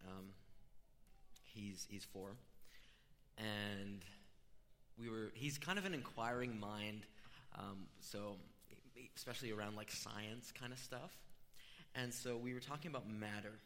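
A middle-aged man preaching a conversational sermon, informal and explanatory, with a voice a little rough from being unwell. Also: lowish voice, a little slow, long pauses, fairly bright tone. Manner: informal, storytelling, explaining step by step. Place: large room, amplified before a congregation. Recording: reverberant hall.

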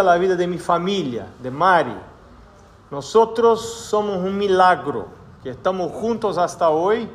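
Slow-spoken Brazilian pastor, high voice. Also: male voice. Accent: Brazilian Portuguese. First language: Portuguese. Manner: slow, measured, with hesitant fillers. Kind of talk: sermon. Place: church.

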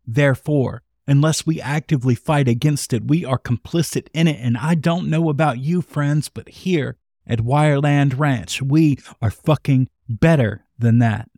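A man with a mid-pitched voice speaks as a podcast host in a close, dry recording. He delivers a scripted address with earnest, emphatic conviction and a rhetorical bent. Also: normal speed, a little mellow, dry recording.

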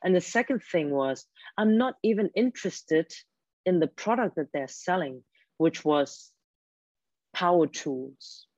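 A woman's voice: lowish voice, expressive, unhurried, a little mellow, no room sound. A woman whose first language is German, a career coach talking earnestly in an interview and often restarting her words. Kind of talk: interview guest explaining advice. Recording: dull, dry lo-fi sound.

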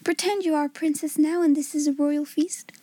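A gushing young schoolgirl, put on by a woman reader, speaks very high and softly rounded. She is childlike, admiring and unsure of herself, a storybook friend who is easily excited. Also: fairly quick, dry recording, lightly acted.

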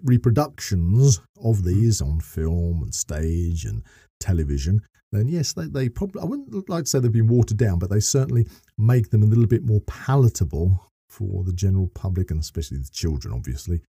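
Middle aged guy on a podcast, really deep mellow voice, bit husky, explains stuff earnestly and rewords as he goes. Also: no room sound, studio quality. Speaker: podcast guest, voice actor and narrator.

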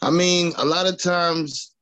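A man's voice: sits high, steady tone, unhurried, fairly bright tone. A man from Long Island, talking loosely as a rapper guest in an interview, full of casual fillers. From Long Island, New York. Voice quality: raspy. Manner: loose and chatty, full of "you know what I mean" fillers. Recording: dull lo-fi sound.

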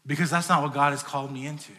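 Male pastor, conversational sermon delivery, slightly high voice, reverberant church hall. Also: fairly even intonation, very fast, pushed and bright. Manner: conversational preaching, dynamic swings in volume.

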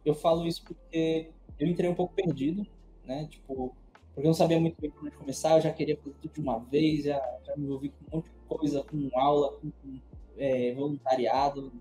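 A man's voice: on the high side, fairly even intonation, fairly quick, mellow, soft background music, poor audio. A young man, co-hosting a casual podcast chat and explaining things in loose, meandering sentences with many restarts. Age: young adult. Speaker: co-host.

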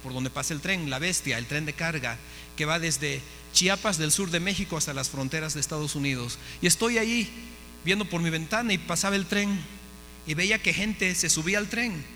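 A man's voice: on the high side, a little fast, bright, forceful tone, big-room sound, soft background music, low-quality recording. A man preaching a testimony sermon, impassioned and often asking his listeners questions. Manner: impassioned, asks the audience questions. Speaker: missionary preacher.

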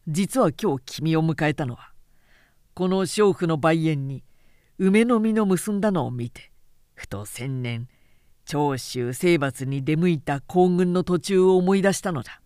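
Woman voicing a proud, low-pitched lordly warlord. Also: expressive, unhurried, darkish tone, clean tone, no echo, clean studio sound. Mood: jovial, boastful. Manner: lordly, hearty, conversational. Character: proud, teasing male warlord host in his prime.

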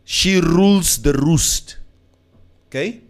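A man's voice: on the high side, slow. A man in casual conversation, challenging his partner with questions and telling stories to make his point. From Namibia. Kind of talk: casual debate, mixing English and Afrikaans.

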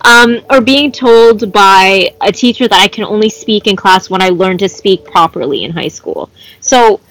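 A woman's voice: mid-range voice, normal speed, fairly bright tone, poor audio. A woman from Canada with a Canadian English accent, a guest in a casual conversation who speaks passionately and often restarts while searching for words.

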